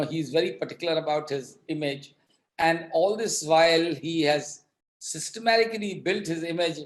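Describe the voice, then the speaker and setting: Man, Indian accent, high-pitched voice, interview commentary, halting, emphatic, repetitive phrasing, strong room echo. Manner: halting, emphatic, often repeats words. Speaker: political commentator. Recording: echoing, roomy sound.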